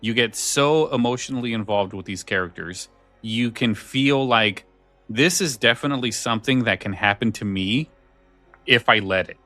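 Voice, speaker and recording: A man's voice: mid-range voice, normal speed, pushed and bright, dry recording, faint music behind. A man hosting a podcast in casual conversation about scary stories, often repeating words as he feels his way along. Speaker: podcast host.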